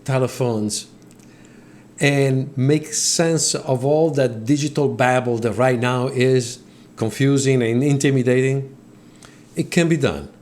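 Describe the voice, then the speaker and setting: A man's voice: medium pitch, takes it slowly, darkish tone. A middle-aged man from Italy with an Italian accent, hosting his own tech channel and speaking earnestly to viewers, repeating words as he goes. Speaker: channel host. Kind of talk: talking to camera, motivational explainer. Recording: faint music behind voice.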